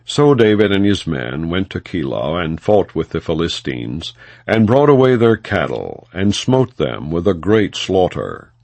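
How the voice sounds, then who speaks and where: A man with a low voice narrates scripture in a formal, measured way. His pitch moves expressively through each phrase, yet he reads plainly as a narrator without acting out parts. The recording is close and dry, with no room sound. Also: big pitch swings, vocal fry, darkish tone, no room sound. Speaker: audiobook Bible reader. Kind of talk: non-dramatized scripture narration.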